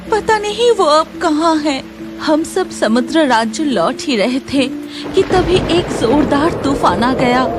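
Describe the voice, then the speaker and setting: A woman plays a gentle cartoon mermaid with a high, plaintive voice. She tells of her sorrows slowly, with music behind her. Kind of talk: children's cartoon story acting.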